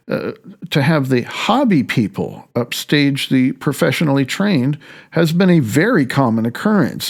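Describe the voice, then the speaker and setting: A man narrating his own audiobook in a candid, wry way, loosely phrased with asides, halting and then rushing ahead. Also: mid-range voice, creaky, darkish tone. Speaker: author reading own audiobook.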